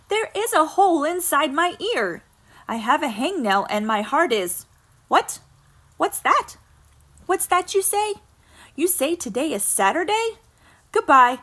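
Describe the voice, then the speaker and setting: A woman's voice: high-pitched, big pitch swings, a little slow, sharp tone, pitched above the performer's own voice, full character voice. A woman voicing a melodramatic little girl who whines and complains with exaggerated emphasis in a children's poem. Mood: surprised, eager. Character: whiny, melodramatic little girl faking sick. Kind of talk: children's poem read aloud.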